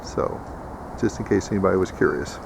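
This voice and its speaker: A man's voice: low-pitched, fairly quick, creaky, noisy background. A man giving a casual, plainspoken instructional vlog in a folksy way, like a host showing viewers his homemade setup. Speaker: host of homesteading video channel.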